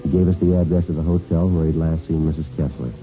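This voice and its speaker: Very deep, clipped radio detective. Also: male voice, fast talker. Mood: firm, probing. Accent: American. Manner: clipped, deadpan, matter-of-fact. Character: stoic, businesslike police detective who narrates his case. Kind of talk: 1950s radio drama acting and narration. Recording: dull vintage radio recording, music behind.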